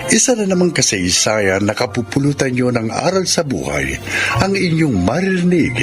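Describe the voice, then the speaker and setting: A man's voice: mid-range voice, wildly swooping pitch, moderate pace, soft background music. A man hosting and announcing a radio drama with dramatic scripted narration in classic radio style.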